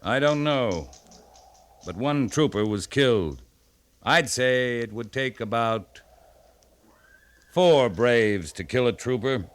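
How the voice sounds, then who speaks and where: An actor playing a stern, shrewd US cavalry captain with a commanding, measured manner, in a 1950s radio western. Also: male voice, mid-range voice, expressive. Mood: firm, threatening. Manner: commanding, measured, pointed questioning.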